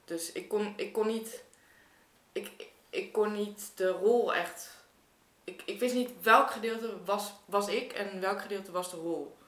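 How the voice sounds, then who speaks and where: A woman's voice: mid-range voice, measured pace, a bit bright. A woman in casual, reflective conversation, searching for her words as she goes. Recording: roomy, echoing room sound.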